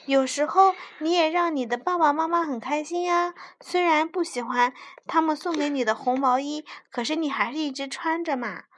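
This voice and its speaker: A woman voicing a playful teddy bear in a storybook, lively and cheeky. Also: high voice, moderate pace, hardly any pauses. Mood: cheery.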